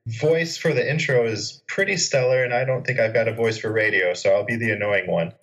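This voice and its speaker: A man's voice: medium pitch, brisk, fairly bright tone, rough recording. A man co-hosting a podcast, joking sarcastically and swearing casually. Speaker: podcast co-host.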